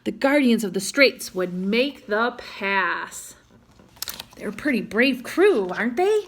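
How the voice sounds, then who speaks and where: A woman's voice: medium pitch, sing-song, slow pace, fairly bright tone, slight background noise. A woman reading a children's adventure story aloud in a dramatic manner, as if to young listeners. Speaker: storybook reader.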